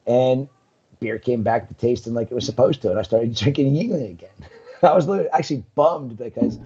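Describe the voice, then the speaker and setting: Husky man telling rambling stories. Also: medium pitch, brisk, mellow, dull audio. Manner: rambling storyteller, many ums, laughs often. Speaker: guest, coral business owner. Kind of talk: casual live-stream chat.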